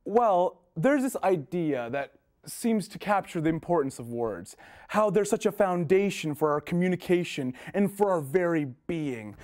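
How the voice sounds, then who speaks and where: A man's voice: high voice, lively intonation, normal speed, darkish tone. A man delivering a scripted recitation as part of a readers theater performance.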